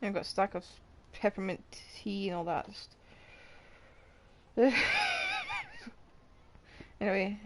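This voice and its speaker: A woman's voice: medium pitch, fairly even intonation, takes it slowly, pauses often, heavy background noise. A woman streamer giving casual live commentary on a game, with dry, wry asides.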